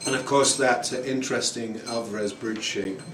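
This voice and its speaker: Male, 40s, casual home gear reviewer, mid-pitched, rambling delivery, distant camera audio with room echo. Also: moderate pace, slight background noise. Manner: relaxed, rambling, many ums and uhs. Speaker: guitar reviewer. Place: small room at home, indoors. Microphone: camera mic at a distance. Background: acoustic guitar playing.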